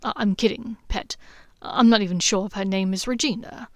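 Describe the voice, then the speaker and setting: A woman voicing a playful, affectionate young man who teases in a low, intimate tone. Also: normal speed, no echo, studio quality, lightly acted. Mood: teasing. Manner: teasing, low and intimate, sometimes half-whispered.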